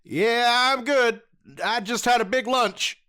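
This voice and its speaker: A man playing a cocky young masked rider hero who is casual, sarcastic and quick to swear. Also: very high-pitched, bright, forceful tone, clean tone, exaggerated voice. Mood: bewildered. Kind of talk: fan audio drama.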